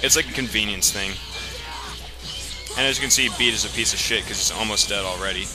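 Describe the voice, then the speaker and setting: Male let's play host, lowish voice, casual and explanatory, rambles, game music underneath. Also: fairly quick. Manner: rambling, explanatory, frequent profanity. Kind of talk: casual video game commentary. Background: video game music and sound.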